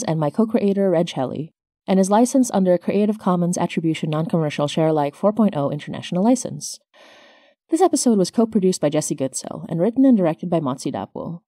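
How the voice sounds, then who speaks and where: Low, mellow woman podcast host. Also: dry recording, clean studio sound. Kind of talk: scripted podcast announcements and credits.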